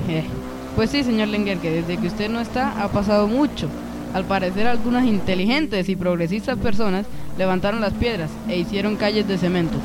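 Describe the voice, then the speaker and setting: Casting: a young man doing a mocking, know-it-all teen, high-pitched, noisy street recording. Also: close to an everyday voice. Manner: teasing, explanatory, a bit sarcastic. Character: teasing, know-it-all teenage boy. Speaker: actor in a youth radio drama.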